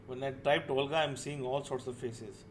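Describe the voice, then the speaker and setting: Halting man in noisy group chat. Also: mid-range voice, fairly even intonation. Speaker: podcast panelist. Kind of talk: casual group discussion. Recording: clearly noisy voice chat recording.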